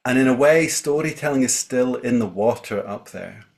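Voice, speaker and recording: Scottish man, middle-pitched, thoughtful. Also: normal speed, gravelly, a little mellow. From Scottish Highlands. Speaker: storyteller, guest speaker. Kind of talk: informal spoken introduction on a group video call. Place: video call.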